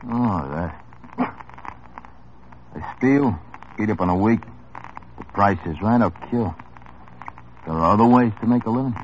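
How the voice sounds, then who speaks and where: An actor playing a small-time hoodlum in an old radio drama, tough, wry and world-weary. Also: male voice, fairly low pitch, lively intonation, unhurried, long pauses, a little hiss or hum. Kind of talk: 1950s radio drama acting. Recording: muffled old radio broadcast.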